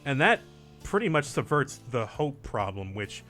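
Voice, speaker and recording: Bright-voiced young man chatting gameplay. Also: mid-range voice, very animated, normal speed. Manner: laid-back, joking, explains game mechanics. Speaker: let's play host. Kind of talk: casual group gameplay chat. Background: game music.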